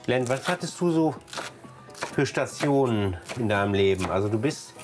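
Male TV cook-host, medium pitch, casual enthusiastic chat, clear music in the background. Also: expressive, moderate pace. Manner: enthusiastic, exclaiming. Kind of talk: casual on-location chat while cooking.

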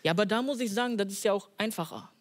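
A young man from the Ruhr area chats as a comedian guest, laid-back and quick to laugh. His voice sits high for a man. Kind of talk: casual chat.

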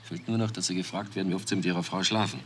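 A man's voice: deep voice, fairly even intonation, racing, natural voice. An actor playing a resigned, bitter adoptive father who talks at length with a weary edge. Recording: noticeable background noise.

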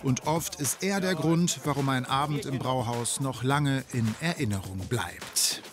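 A man reading scripted narration for a TV report in a brisk, matter-of-fact way. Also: mid-range voice. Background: music bed.

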